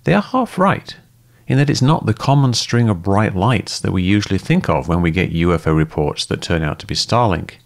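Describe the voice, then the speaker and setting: Deep calm man explaining step by step. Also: fairly quick, creaky. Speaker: host and debunker. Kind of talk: scripted explanatory narration.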